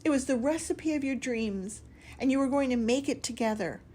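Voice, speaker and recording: A woman's voice: sits high, normal speed, warm tone, slight background noise, voice pushed up, close to an everyday voice. A woman voicing a timid, lonely young male slice of bread who talks meekly and tearfully. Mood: hurt. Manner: meek, tearful, self-pitying.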